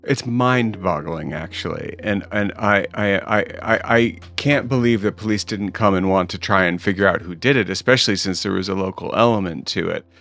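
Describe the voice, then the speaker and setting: Middle-aged guy hosting a podcast, kinda low gravelly voice, stumbles into his questions, bit of music under. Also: moderate pace, hardly any pauses, fairly bright tone. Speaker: podcast host. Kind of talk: conversational interviewing.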